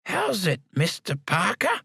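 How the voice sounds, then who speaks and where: Man putting on a shrill piping voice, very old toothless man, cantankerous, stubborn, high and lively, dry sound. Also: unhurried, vocal fry, husky, no room sound, full character voice. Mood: frail.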